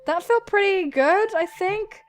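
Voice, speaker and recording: A young woman hosting a game playthrough, excitable and giggly, switching between reading aloud and reacting. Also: extremely high pitch, a little slow, music in the background. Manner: excitable, squealing, giggly, swearing. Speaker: gaming video host.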